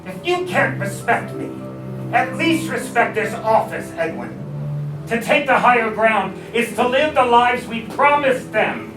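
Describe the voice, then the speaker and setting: A man playing a principled, weary president in a stage musical reading. His voice is high and he speaks slowly and deliberately. It carries from a distance across an echoey room. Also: lively intonation, over music. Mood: indignant. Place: public staged reading in a restaurant.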